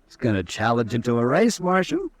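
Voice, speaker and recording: An actor as a cocky, taunting army lieutenant. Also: male voice, medium pitch, very animated, a little fast, close to an everyday voice. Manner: swaggering, mocking, laughs at others. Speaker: cavalry lieutenant. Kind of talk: 1950s radio drama acting.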